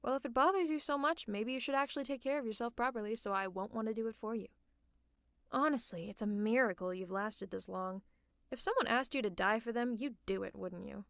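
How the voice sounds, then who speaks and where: A woman playing a sardonic female supervillain in an ASMR roleplay, teasing and scolding the listener in a gruffly caring way. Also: on the high side, fairly quick, fairly bright tone, dry recording, clean studio sound. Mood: teasing fondness, then exasperated concern. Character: sardonic female supervillain, gruffly fussing over a sick hero. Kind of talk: ASMR roleplay, one-sided dialogue to the listener. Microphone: close mic, dry.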